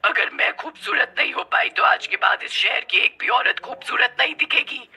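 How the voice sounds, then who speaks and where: A vengeful female witch ghost from a horror cartoon, acted out by a woman. The voice is very sharp and forceful, with lively swings of pitch. It sneers and gloats with open menace. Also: normal speed, slightly rough, exaggerated voice. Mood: gloating, scheming.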